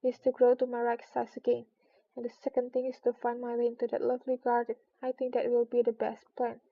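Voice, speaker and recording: Earnest young storybook girl, played by a woman, musing and polite, higher pitch, some fry. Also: completely flat delivery, brisk, phone-quality sound. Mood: thoughtful. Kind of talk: storybook character reading.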